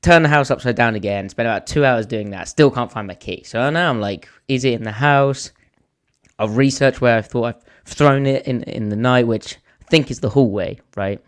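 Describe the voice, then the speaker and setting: Hoarse man, chatty podcast host. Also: mid-range voice, normal speed. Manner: rambling storyteller, animated when telling a story. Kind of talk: casual chat.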